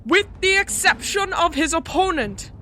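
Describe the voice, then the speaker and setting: Woman playing a high, bright vampire noblewoman. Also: animated, a little slow, a little hiss or hum, exaggerated voice. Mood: commanding. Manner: imperious, formal diction. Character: regal, stern female vampire noble. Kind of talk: formal ceremonial audio drama acting.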